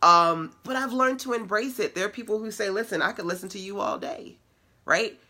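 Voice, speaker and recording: A middle-aged woman with a deep voice, hosting a casual online web class and encouraging her audience in a conversational, playful way. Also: brisk, pushed and bright. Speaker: web class host and business coach.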